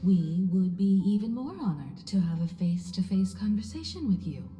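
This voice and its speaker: An actress plays an elegant, wise female navigator in a video game. Her voice is extremely soft-edged and dark, at a medium pitch, and she speaks with composed, polite deliberation. The sound is roomy. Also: big pitch swings, moderate pace, close to an everyday voice. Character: calm, elegant, wise woman navigator of a space train. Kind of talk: video game story voice acting. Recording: roomy reverb, faint music behind.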